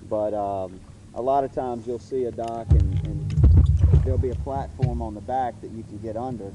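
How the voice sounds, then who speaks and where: A man's voice: mid-range voice, fairly even intonation, moderate pace, loud music behind the voice, rough recording. Easygoing man teaching fishing outdoors. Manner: easygoing, explains step by step with restarts. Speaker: fishing instructor. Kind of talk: casual how-to talk while fishing. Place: outdoors on a boat on a lake. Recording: wind and water noise behind.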